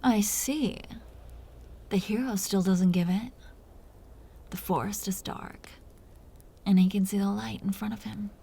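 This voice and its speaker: A woman voicing a seductive, dominant female vampire captor in an intimate audio roleplay, speaking in a breathy, teasing whisper. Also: medium pitch, steady tone. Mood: soft, intrigued. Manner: slow, teasing, softly condescending.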